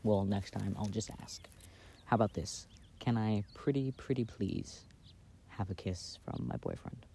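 A man playing an affectionate, playful boyfriend in a casual, intimate ASMR roleplay, speaking softly and hesitantly, recorded outdoors. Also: lowish voice, animated, unhurried, creaky. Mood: playful, teasing. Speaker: amateur audio roleplay performer. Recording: outdoor ambient noise.

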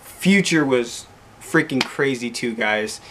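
A young man, tired and going hoarse, chatting excitedly to camera at home, rambling and calling out to his viewers. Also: mid-range voice, slow, a little hiss or hum. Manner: excited, rambling, repeats "guys". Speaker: vlogger. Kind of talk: casual talk to camera. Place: small room indoors, at home.

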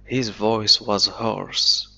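A man teaching English, reading a novel aloud slowly and deliberately and explaining it line by line, an Arabic speaker. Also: on the low side, fairly even intonation, gravelly, pushed and bright. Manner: slow deliberate reading, then quick explanations. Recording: dull lo-fi recording.

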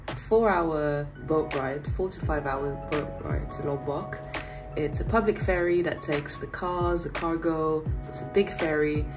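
Loud music plays under a woman's fairly low voice. She talks casually as a travel vlogger, addressing a handheld phone. The delivery is informal, like chatting to viewers on the go. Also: rough recording. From Morocco or London. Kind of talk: casual travel vlog chat to camera. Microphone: handheld phone at arm's length.

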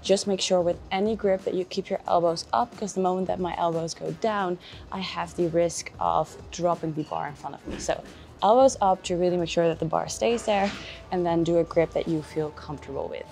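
A woman's voice: lowish voice, fairly quick, vocal fry, a little mellow. A woman in her twenties, chatting instructively in a casual fitness vlog. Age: 20s. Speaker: fitness vlogger and trainer. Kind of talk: casual vlog explaining exercises. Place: indoor gym, later a home kitchen. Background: music.

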